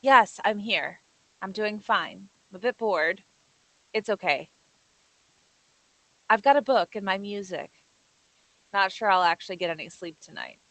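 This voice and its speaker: A woman's voice: medium pitch, a little slow, long pauses, pushed and bright, dry recording, poor audio. Young woman acted by a woman, dry close sound. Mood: bored, reassuring. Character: young woman alone at a cabin, on the phone. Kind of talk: amateur script table read.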